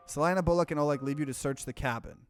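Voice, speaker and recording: A man's voice: a little high, very fast, soft background music. A male let's player reading game narration aloud and reacting casually, often cutting into his own sentences.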